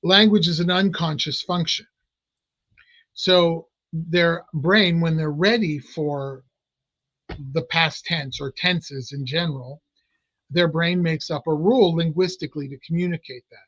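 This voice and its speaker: Higher-voiced man explaining with restarts. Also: steady tone, measured pace, fairly bright tone, dry recording, lo-fi sound. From Italian American family. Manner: explanatory, many restarts and fillers. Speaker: clinical hypnotist guest. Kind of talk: casual interview talk.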